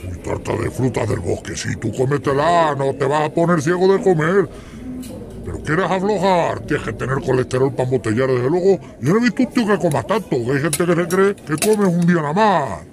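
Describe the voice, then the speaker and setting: A man's voice: fairly high pitch, expressive, a little fast, noisy background. A man giving joking, teasing commentary while someone eats. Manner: joking, ribbing the eater.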